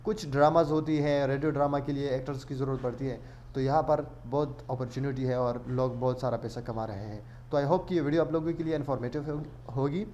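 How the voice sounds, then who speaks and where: Casting: male tutorial host, mid-pitched voice, casual explanatory delivery sprinkled with English, faint background noise. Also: steady tone, a little fast, hardly any pauses, a little mellow, lo-fi sound. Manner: explanatory, mixes English words into speech. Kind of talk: casual screen-share tutorial narration.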